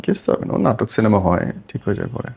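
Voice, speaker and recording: A man's voice: fairly low pitch, steady tone, rapid-fire, vocal fry, a little mellow, husky. A Bangladeshi man hosting a podcast interview in a conversational way, slipping in bits of English. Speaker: podcast host.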